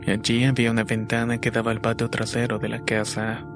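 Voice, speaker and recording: Man narrating scary stories, flat delivery. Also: medium pitch, a little fast. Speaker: narrator of listeners' paranormal stories. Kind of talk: scripted horror story narration. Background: background music.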